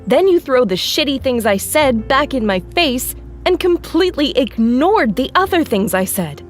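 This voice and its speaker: A woman's voice: high voice, big pitch swings, normal speed, soft background music. A woman playing a rebellious, foul-mouthed younger sister in an audio drama, sarcastic and biting. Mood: bitter sarcasm.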